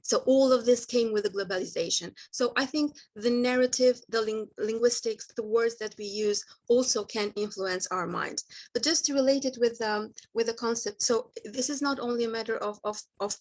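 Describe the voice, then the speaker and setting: A woman with a fairly high voice offers a long comment at an online seminar. She speaks thoughtfully, pausing with fillers as she builds her point. The sound is that of a video call. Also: a little mellow. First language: not Italian. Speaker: audience member asking a question. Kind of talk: academic discussion.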